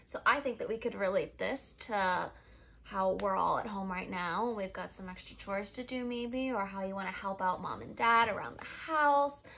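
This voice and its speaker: A woman teacher reading a story aloud to children in simple phrases, pausing to ask them questions and confirm answers. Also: mid-range voice, vocal fry, bright, forceful tone, a little hiss or hum, rough recording. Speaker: life skills teacher.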